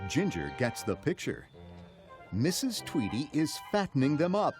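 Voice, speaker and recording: A man's voice: medium pitch, a little mellow. A man reading scripted narration for a children's read-along story.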